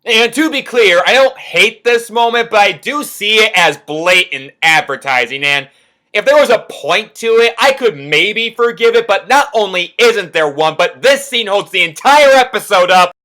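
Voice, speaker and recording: A man's voice: high voice, animated, normal speed, sharp tone. A man hosting a cartoon review show, giving wry, sarcastic commentary.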